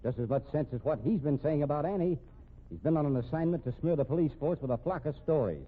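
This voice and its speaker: An actor in a 1950s radio mystery playing a wry, weary police captain with a dry, firm, slangy way of talking. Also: male voice, fairly high pitch, fast talker, lightly acted. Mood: accusing. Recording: tinny band-limited old radio recording.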